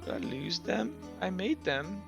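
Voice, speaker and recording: A man running a tabletop game, improvising narration in a halting, casual, slangy way. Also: sits high, sing-song, gravelly. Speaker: game master. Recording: muffled, music behind. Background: background music.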